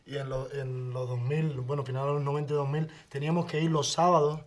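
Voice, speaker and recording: A man in his thirties from Havana, Cuba, with a Cuban accent, a podcast guest speaking in an animated, blunt way. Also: mid-range voice, fast. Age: 30s.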